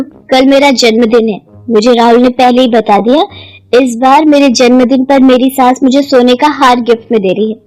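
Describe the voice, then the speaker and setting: An earnest, devoted young daughter-in-law from a cartoon moral tale, acted by a woman. The voice is high and emotional, pushing words emphatically over faint music. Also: a little mellow, lightly acted, low-quality recording.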